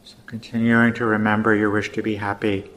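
A man teaching meditation, giving gentle, unhurried guided instructions with pauses. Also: fairly low pitch, level tone.

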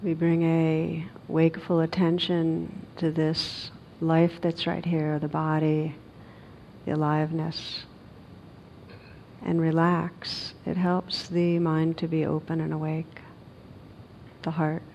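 A woman meditation teacher leading a guided meditation in a gentle, unhurried manner with long pauses, on a recording with some noise. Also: completely flat delivery. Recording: dull recording with noise and rumble.

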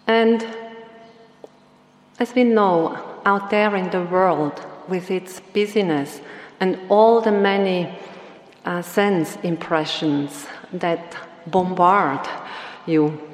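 A woman meditation teacher giving a Dhamma talk with a slow, gentle instructional delivery. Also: lowish voice. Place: meditation hall. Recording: roomy hall with long reverb.

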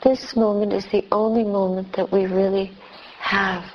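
A woman leading a guided meditation as a teacher, speaking gently and in measured short phrases with long pauses. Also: mid-range voice, little pitch movement, highs cut off, a little hiss or hum. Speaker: meditation teacher, Buddhist nun.

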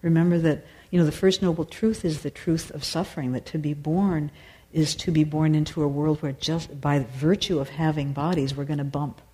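A woman meditation teacher and singer answering audience questions after a dharma talk, thoughtful and searching, with many pauses and restarts. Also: sits low, steady tone, warm tone, rough recording.

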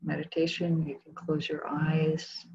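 A woman leading a guided meditation as a teacher, speaking calmly and gently with long pauses in a soft, husky voice. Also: deep voice. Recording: dull, muffled lo-fi recording.

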